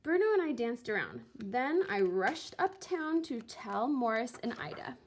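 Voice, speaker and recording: A woman with a high-pitched voice reads a children's story aloud. Her pace is slow and deliberate, and she gives phrases a dramatic, expressive emphasis. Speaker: teacher reading a picture book aloud.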